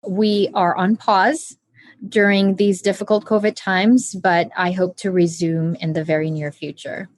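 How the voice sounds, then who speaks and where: A woman doctor as an interview guest, speaking in a calm, measured, earnest way. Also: on the low side. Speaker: guest, oncologist surgeon. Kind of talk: conversational interview.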